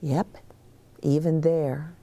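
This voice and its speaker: A woman voicing a gentle, reassuring mother in a children's storybook, patient and tender. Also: deep voice, steady tone, takes it slowly, slight background noise.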